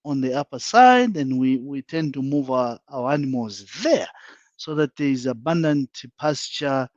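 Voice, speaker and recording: A man's voice: medium pitch, expressive, normal speed, no echo, lo-fi sound. A man with a Zambian accent, a panel guest speaking frankly and emphatically in a live online discussion, laying out his points one by one.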